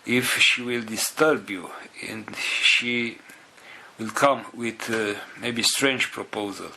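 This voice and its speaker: A man leading a group, making organizational announcements in halting, non-native English broken into short phrases with pauses. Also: fairly low pitch, fairly even intonation, creaky, bright, forceful tone, slight background noise, low-quality recording.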